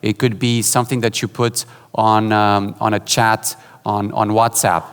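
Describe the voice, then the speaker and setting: A man from Portugal giving a conference keynote, relaxed and joking, with many fillers and restarts. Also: fairly low pitch, measured pace, smooth. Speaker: keynote speaker. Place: large conference hall. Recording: hall reverb.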